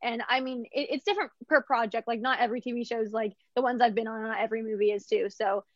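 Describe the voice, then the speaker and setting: A bright, higher-pitched woman from Vancouver talks about her acting work in a chatty, upbeat way, full of "like" and quick restarts. Her voice comes across dry and a little dull, as if on a lo-fi recording. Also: steady tone, dry recording. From Canada, Vancouver. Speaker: actress being interviewed. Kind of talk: casual interview answers.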